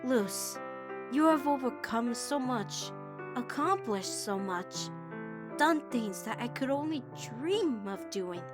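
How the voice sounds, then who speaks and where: A woman voicing a devoted, anxious teenage witch girl in an animated-style comic dub, earnest and halting in delivery. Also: fairly high pitch, music in the background. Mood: admiring, tender.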